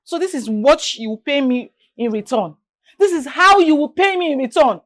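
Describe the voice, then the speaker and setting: An actress playing a furious, betrayed wife, scolding and confrontational in a melodramatic drama. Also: female voice, high-pitched, normal speed, a bit bright. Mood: furious, scornful. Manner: scolding, confrontational, repeating demands. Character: furious betrayed wife throwing out her cheating sister. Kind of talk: melodramatic screen drama acting.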